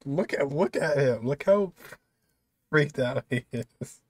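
A man's voice: medium pitch, expressive, slow pace, background noise. A man giving casual reaction commentary from an indoor room. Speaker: reaction video host.

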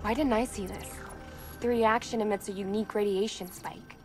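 A woman playing a capable young tech expert in a video game, brisk and analytical. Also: medium pitch, steady tone, music in the background, close to an everyday voice. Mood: focused.

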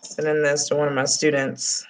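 A woman's voice: low voice, little pitch movement, normal speed, rough recording. A woman in her thirties teaching a Spanish lesson online from a small room at home, speaking with a Dominican accent on the Spanish words and making chatty, joking asides to her students. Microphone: webcam close up.

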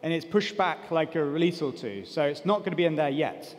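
A man's voice: a little high, lively intonation, brisk. A man in his thirties giving an informal technical talk on a conference stage, explaining things in a casual, loose way. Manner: casual, explanatory, peppered with "like" and "kind of". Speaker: conference speaker. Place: large conference hall stage. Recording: big reverberant hall PA sound.

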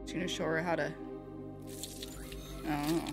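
A woman hosting a reaction video, giving casual, expressive commentary with frequent exclamations. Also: sits low, unhurried. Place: own room. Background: music from the watched animation.

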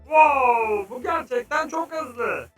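A woman's voice: high-pitched, animated, takes it slowly, far from the mic. A woman voicing a reassuring, enthusiastic young cartoon explorer in an educational children's show. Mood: amazed. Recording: roomy echo, music behind.

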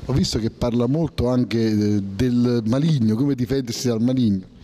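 A man in his thirties with a mellow, dark voice narrates a local TV report and puts questions to guests. His delivery is polished and even, typical of broadcast reading. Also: mid-range voice, normal speed.

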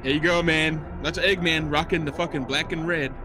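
A young man giving casual, excitable movie reaction commentary full of slang and swearing, close on a microphone. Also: on the high side, normal speed, over music. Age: young adult. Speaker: reaction video host. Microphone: close studio microphone, headset monitoring.

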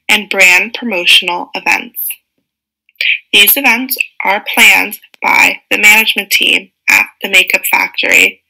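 Bright, gravelly woman reading a script. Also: mid-range voice, rough recording. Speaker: student presenter. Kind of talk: scripted narration of an animated case study.

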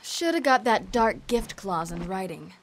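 An actress playing a cocky, sardonic half-vampire heroine in a video game, tossing out sarcastic taunts. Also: female voice, mid-range voice, expressive, a little slow, background noise. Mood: sarcastic, flippant. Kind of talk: video game cutscene acting.

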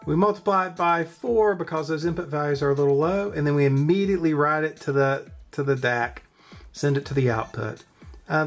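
A man hosting a hands-on electronics tutorial, talking informally and thinking aloud as he works. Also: on the high side. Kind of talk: casual narrated build demo. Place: indoor electronics workbench.

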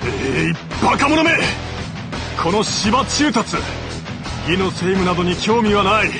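Scheming ancient Chinese strategist, high voice, wildly swinging intonation, arrogant, scornful, theatrical game acting, music behind. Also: male voice, lively intonation, measured pace. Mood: indignant, scornful. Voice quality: theatrical, swinging from sneer to shriek. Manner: contemptuous, pompous, scolding. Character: haughty, hot-tempered scheming male strategist of ancient China.

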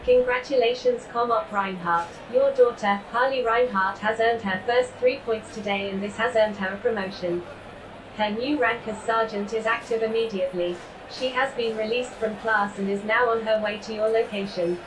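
A woman's voice: on the high side, fairly even intonation, normal speed, background noise, lightly acted. A woman voicing a formal, procedural automated alert system in a science fiction audio drama. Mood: formal announcing. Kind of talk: scripted announcement in an audio drama.

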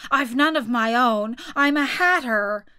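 A woman's voice: fairly high pitch, a little slow, pushed and bright, clean tone. A woman voicing a nervous, wretched male hatter in a storybook, trembling and pleading hesitantly. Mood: anxious.

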